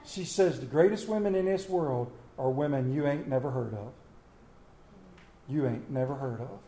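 A man preaching a Mother's Day sermon, folksy and storytelling, with dramatic slow pauses on quoted lines. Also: medium pitch, warm tone, a little hiss or hum. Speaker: pastor. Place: church sanctuary. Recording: echoey church room.

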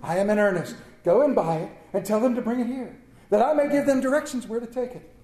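An elderly miser, suddenly gleeful and full of chuckles, who still plays at being gruff, voiced by a man reading aloud. The voice sits fairly high yet mellow. A roomy echo hangs behind every word. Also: quick pace. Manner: chuckling, emphatic, playfully gruff. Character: elderly male miser turned jolly, gleeful.

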